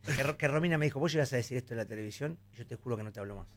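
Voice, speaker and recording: A man's voice: mid-range voice, racing. A man hosting a radio show, chatting casually with a Rioplatense Argentine accent and acting out the voices in his stories. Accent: Rioplatense Spanish. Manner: animated storyteller, acts out voices. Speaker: radio host. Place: radio studio.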